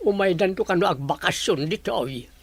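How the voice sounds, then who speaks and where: A man with a Filipino accent playing a plain-spoken elderly village grandfather with a gravelly, husky voice in a radio drama. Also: high voice, moderate pace. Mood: plain, friendly. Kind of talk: Philippine radio drama acting.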